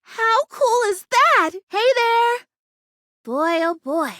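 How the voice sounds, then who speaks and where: A woman's voice: extremely high pitch, big pitch swings, takes it slowly, sharp tone, no room sound, clean studio sound, full character voice. A woman voicing a bubbly, scatterbrained young plastic houseplant in a video game, chatty and gushing. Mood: excited, friendly. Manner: gushing, chatty, easily distracted, exclamatory. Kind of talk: animated video game character acting.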